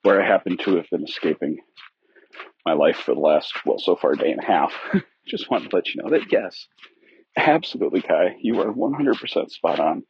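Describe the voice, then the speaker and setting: A man's voice: low-pitched, expressive, normal speed, husky, no echo. A man leaving an upbeat, rambling listener message for a podcast. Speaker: listener caller. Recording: dull lo-fi phone recording.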